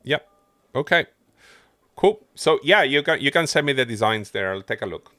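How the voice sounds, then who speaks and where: A man's voice: medium pitch, animated, moderate pace, pushed and bright, no echo, clean studio sound. A man doing casual livestream game commentary, muttering his running thoughts to himself as he plays. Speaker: game streamer.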